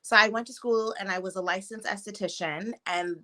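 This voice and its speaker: A woman in her thirties, born in Trinidad and raised in Canada and the US, chatting lively as a podcast guest over a video call. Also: mid-range voice, a little fast. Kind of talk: casual interview chat.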